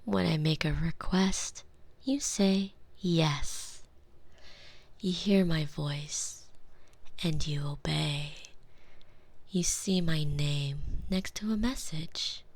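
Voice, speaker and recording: A woman whispering slow, commanding hypnosis ASMR with a soft, breathy voice. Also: deep voice, dry recording.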